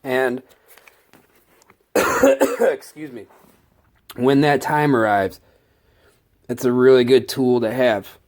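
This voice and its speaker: A man with a head cold talks through his work kit at an unhurried pace, often pausing mid-thought. His stuffed-up voice sits at an ordinary pitch, and he gets eager when a favorite piece of gear comes up. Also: fairly even intonation, darkish tone. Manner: slow, halting, enthusiastic about gear. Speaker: maintenance tech showing his tools. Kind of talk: casual tool walkthrough.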